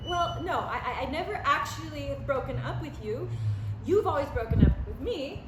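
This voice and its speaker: A teasing, easily exasperated girlfriend with a dreamy streak played by a woman at a comedy table read. Her voice is high and swings expressively, in a roomy recording with noticeable noise. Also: lively intonation, moderate pace. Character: Helen, pleasantly zoned out, exasperated, teasing girlfriend. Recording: echoey room, clear background noise.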